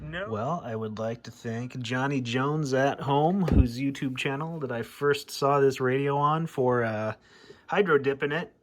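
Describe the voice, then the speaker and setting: A man's voice: medium pitch, unhurried. A man giving a casual hands-on demo and calling out as a CB radio hobbyist. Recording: clear background radio noise.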